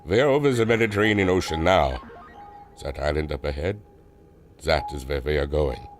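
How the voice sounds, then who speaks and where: A brooding German airship captain from a radio adventure serial. His voice is very deep, accented and grave, measured and secretive, with light music underneath. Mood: grave, guarded.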